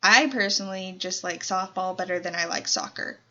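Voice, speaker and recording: A woman's voice: lowish voice, normal speed, sharp tone, dull audio. A nineteen-year-old woman from Colorado, chatting casually in a Q&A vlog, rambling with lots of fillers. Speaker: YouTuber.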